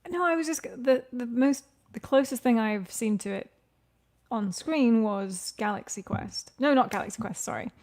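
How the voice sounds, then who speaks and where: A woman's voice: a little high, normal speed, a little mellow. A woman co-hosting a podcast, chatting casually and agreeably about a TV show in short conversational remarks. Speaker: podcast co-host.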